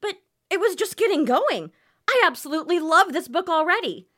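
Woman acting a very high, bright gushing host. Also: sing-song, a little fast, heavily acted. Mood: eager. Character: gushing, eager female book review radio host. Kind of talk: scripted radio comedy acting.

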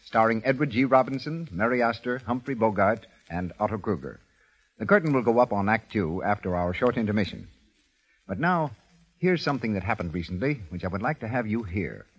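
A male announcer on an old 1930s radio broadcast. His voice is low and slightly rough. He delivers scripted program and sales copy. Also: lively intonation, fairly quick, low-quality recording.

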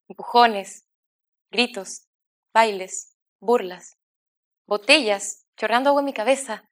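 A woman from Chile with a Chilean accent, giving a scripted personal testimony with a slow, measured, emphatic delivery. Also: on the high side.

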